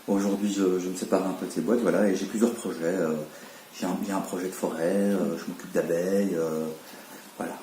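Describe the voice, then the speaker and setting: A man's voice: sits low, steady tone, fairly quick, mellow, echoey room, slight background noise. A man around forty, born in Belgium, talking casually in an interview with many fillers and restarts.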